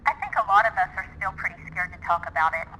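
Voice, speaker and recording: A woman acting as an earnest but wary small-town local, speaking in a conversational way on a phone call. Her pitch is average and the recording is clearly noisy. It sounds like an interview in an audio drama. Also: animated, racing, sharp tone.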